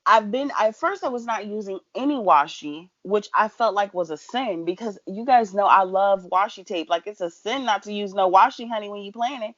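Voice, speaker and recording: A woman's voice: medium pitch, normal speed, hardly any pauses, a bit bright, dry recording, dull audio. A woman co-hosting a casual podcast, chatting playfully with sassy asides like "honey" and "girl.". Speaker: podcast co-host. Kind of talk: casual chat.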